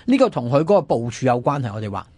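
A man's voice: mid-range voice, big pitch swings, rapid-fire, darkish tone, breathy edge, no room sound. A man with a Hong Kong Cantonese accent hosting a radio talk, explaining history in a colloquial, conversational way. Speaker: radio host.

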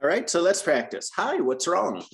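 Patient teacher, animated pitch, corrects gently and repeats phrases. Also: moderate pace, creaky. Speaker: English teacher. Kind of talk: tutoring a student.

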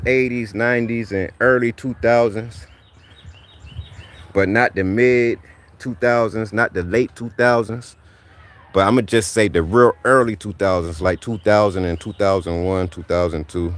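A man's voice: lowish voice, normal speed. A man in his thirties talking casually on an outdoor livestream, rambling and repeating "man" as he gives motivational advice. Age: 30s. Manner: rambling, repeats "man" often, laid-back preachy. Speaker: livestream host. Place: outdoors in a backyard driveway.

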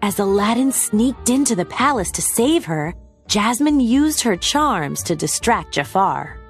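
A woman reading a children's storybook aloud as narrator, with expressive scripted storytelling delivery. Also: medium pitch, unhurried. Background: background music.

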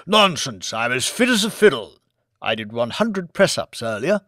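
A man's voice: medium pitch, sing-song, moderate pace, sharp tone, no room sound, clean studio sound. A man voicing a hot-tempered, grandiose old lord in an audiobook, declaiming with pompous melodrama. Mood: stubborn boasting. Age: older.

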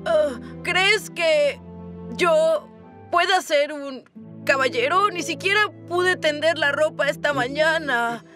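A woman's voice: high voice, slow pace. A woman voicing a small, earnest, wide-eyed boy in a children's puppet show dub, hesitant and gasping. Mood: shy, awed. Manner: wide-eyed, hesitant, full of little gasps. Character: small earnest boy who longs to be a knight. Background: children's music.

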